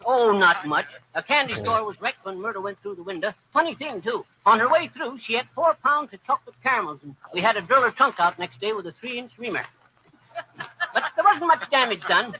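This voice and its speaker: A man's voice: extremely high pitch, animated, brisk, bright, forceful tone, exaggerated voice. An actor playing a boastful, rambling tall-tale teller in a 1940s radio comedy, folksy and laughing at his own jokes. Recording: dull old radio recording, faint hiss.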